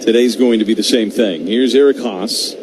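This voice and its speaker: A man's voice: fairly low pitch, normal speed. A man doing live radio baseball play-by-play in a wry, conversational way. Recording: noisy stadium broadcast feed.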